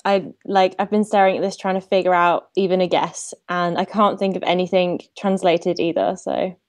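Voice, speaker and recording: A woman with a slightly low voice answers as a quiz contestant on a video call. Her talk is casual, halting and apologetic. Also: flat delivery. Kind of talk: casual chat.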